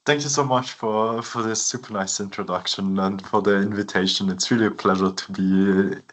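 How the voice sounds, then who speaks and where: Lower-pitched Swiss man in his thirties, native French and German speaker, professor giving a seminar, easygoing, lots of "uh" and "like". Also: normal speed. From Switzerland. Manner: frequent fillers, relaxed and hesitant phrasing. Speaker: guest lecturer, professor. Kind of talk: academic seminar talk.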